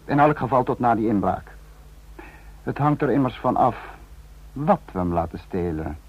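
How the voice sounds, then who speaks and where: An actor playing a cold, calculating authoritarian leader in formal, deliberate radio drama speech. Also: male voice, mid-range voice, animated, husky. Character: authoritarian male head of state, commanding, cold and calculating. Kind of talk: mid-century European radio drama acting. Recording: dull, lo-fi old radio recording.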